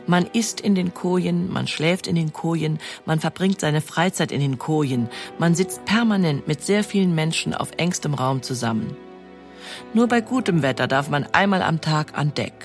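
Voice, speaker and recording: A woman's voice: low-pitched, lively intonation, normal speed, faint music behind. A woman giving scripted documentary narration as the narrator of an audio feature.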